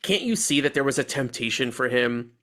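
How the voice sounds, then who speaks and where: A male podcast host with a medium-pitched voice in casual conversation. He gives his opinions in an animated way, with plenty of slang and loose swearing. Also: brisk. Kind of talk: casual chat, film discussion.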